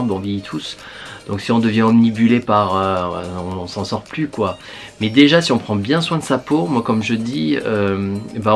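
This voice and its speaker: A middle-aged man with a southern French accent talks casually to his viewers in a fairly low voice, stopping often on fillers and restarting his sentences. Faint music plays quietly behind him. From southern France. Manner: hesitant, many fillers and restarts. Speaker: beauty vlogger. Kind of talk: casual chat to camera.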